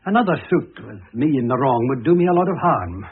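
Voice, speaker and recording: An actor playing a proud, aggrieved older husband in a radio drama, speaking formally with some hesitation. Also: male voice, mid-range voice, big pitch swings, fast, slightly rough, heavily acted. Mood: worried. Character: proud, aggrieved older husband seeking comfort from his ex-wife. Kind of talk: old radio drama acting. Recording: tinny, noisy old radio recording.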